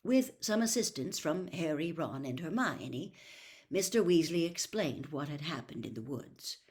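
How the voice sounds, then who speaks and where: Female storybook narrator, lowish voice, highly animated intonation, expressive reading. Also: a little slow. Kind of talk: expressive scripted novel reading.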